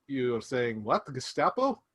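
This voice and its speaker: A man's voice: medium pitch, very animated, fairly bright tone. Man doing a confused foreigner in broken English. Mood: puzzled. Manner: slow, halting, broken English.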